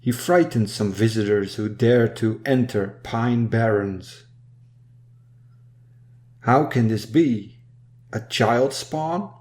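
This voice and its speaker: A man reading a dark narrative poem in a solemn, measured storytelling manner. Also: mid-range voice. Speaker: poem reader. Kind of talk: solemn scripted poetry recitation.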